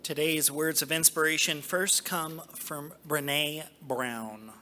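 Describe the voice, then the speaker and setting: A man speaking as a spiritual practitioner in a church sanctuary, giving devotional readings and prayer in deliberate, measured phrases with pauses. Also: fairly high pitch, sharp tone, slight background noise.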